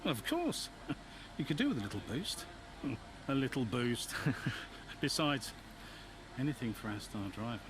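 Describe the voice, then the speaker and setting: An actor playing a blunt, hot-tempered middle-aged boss of a racing team in a video game cutscene. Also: male voice, mid-range voice, very animated, measured pace, noisy background. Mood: brisk, teasing. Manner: blunt, commanding, scolding. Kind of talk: cinematic video game dialogue.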